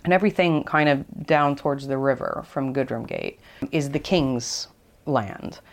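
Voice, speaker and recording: A woman's voice: rumbling low, a little slow. A woman speaking as an academic guest in a conversational interview, explaining things in a hesitant, thinking-aloud way. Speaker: academic expert guest, archaeologist.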